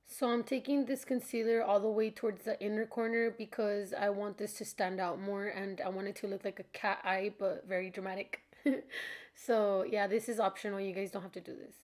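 Young woman doing a makeup voiceover, kinda stuffy from allergies, casual n chatty, average pitch. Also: a little mellow. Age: young adult. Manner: chatty, informal, giggly, addresses viewers as friends. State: slightly congested from allergies. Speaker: makeup tutorial creator. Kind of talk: casual tutorial voice-over.

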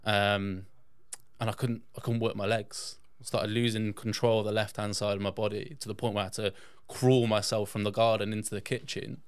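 Smooth, low-voiced man from England. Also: little pitch movement, a little fast, no echo. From England, born in Yorkshire, raised in south-west England. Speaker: podcast guest. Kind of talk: casual personal storytelling in an interview.

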